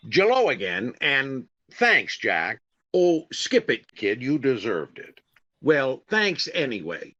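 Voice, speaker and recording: A man's voice: mid-range voice, sharp tone, exaggerated voice. A man playing a self-important radio comedy star in an old-time comedy style. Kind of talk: 1940s radio comedy acting. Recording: slightly dull, band-limited sound.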